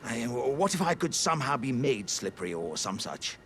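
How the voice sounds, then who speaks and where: A man's voice: medium pitch, very animated, normal speed, fairly bright tone, slight background noise. A man voicing a big, earnest, jovial living warrior jar in a video game, with theatrical, old-fashioned courteous speech. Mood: sheepish, hopeful. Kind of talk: video game character acting.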